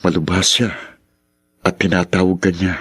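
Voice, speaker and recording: A man playing a gentle, concerned friend in a radio drama, speaking earnestly. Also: low-pitched, little pitch movement, moderate pace, close to an everyday voice. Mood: grave. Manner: earnest, consoling. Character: gentle, concerned male friend bearing news.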